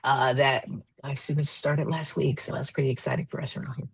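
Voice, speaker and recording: A woman's voice: rumbling low, fairly even intonation, fairly quick, gravelly, breathy edge, no echo. Easygoing woman on a dry video call. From Rochester, New York. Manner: easygoing, with frequent ums. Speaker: admissions director. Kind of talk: casual self-introduction on a webinar.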